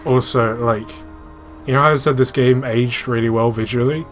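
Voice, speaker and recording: A man's voice: mid-range voice, steady tone, moderate pace, a bit bright, faint music behind. A man giving casual, informal gaming commentary as a let's play host, swearing freely and explaining technical details.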